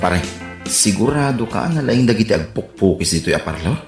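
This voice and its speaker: A man's voice: lowish voice, animated, a little fast, over loud music. A man playing a suspicious, grumpy friend with an Ilocano accent, grumbling reluctantly in a comedy radio drama. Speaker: actor. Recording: echoey room.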